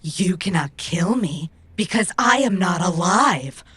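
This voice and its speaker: A woman acts out a proud female robot in a cyberpunk audio drama. The voice is husky and slow, and every phrase comes out formal and exact. The robot is stubborn and will not bend. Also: fairly low pitch, animated, fairly bright tone, exaggerated voice. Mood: fierce, defiant.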